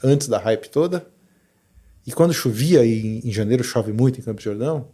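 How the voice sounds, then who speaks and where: A middle-aged man from Brazil, a podcast guest thinking aloud in relaxed interview talk with many restarts. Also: medium pitch, fairly quick, slightly rough. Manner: reflective, lots of fillers and restarts. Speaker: podcast guest, learning specialist. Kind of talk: conversational interview.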